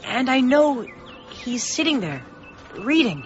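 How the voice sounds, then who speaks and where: A woman's voice: high voice, big pitch swings, slow, soft background music, heavily acted. A woman playing an earnest, anxious young boy in a radio drama. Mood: wondering. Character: earnest, anxious young small-town boy, loyal and questioning. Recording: muffled, noisy radio drama recording.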